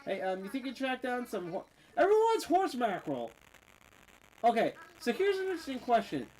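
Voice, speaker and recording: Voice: mid-range voice, a little mellow, a little hiss or hum, low-quality recording. A game streamer chatting casually and a little tipsy while playing, rambling and joking as they go. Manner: rambling, jokey, trails off and restarts. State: slightly tipsy.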